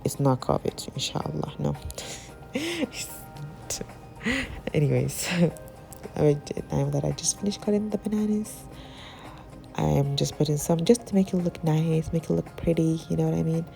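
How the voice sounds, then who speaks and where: A young woman with a slightly husky voice, chatting casually to viewers while cooking in her home kitchen. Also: low-pitched, a little slow, long pauses, warm tone, faint music behind. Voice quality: husky, sounds slightly sick. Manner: chatty, giggly, talks to viewers as guys. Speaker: cooking channel host. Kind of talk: casual cooking vlog voice-over.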